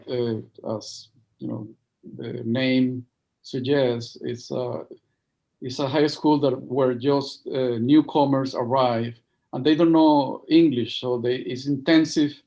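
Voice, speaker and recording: A man from Venezuela with a strong Venezuelan Spanish accent, speaking as a guest on a livestream interview over a video call, halting and full of fillers and restarts. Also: medium pitch, dark tone, low-quality recording. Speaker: guest, NGO project leader.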